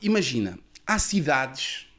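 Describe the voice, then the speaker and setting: A man's voice: medium pitch, sing-song, a bit bright. A young adult man with a Lisbon Portuguese accent, chatting casually and loosely as a podcast host. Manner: loose, slangy, quick agreeing interjections.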